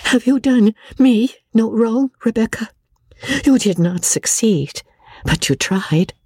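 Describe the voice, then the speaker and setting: A woman reading aloud as a hurt young wife who accuses her false friend, speaking in a breathy, trembling voice. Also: on the low side, expressive, slow, darkish tone, dry recording, studio quality, voice pushed up, exaggerated voice. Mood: reproachful.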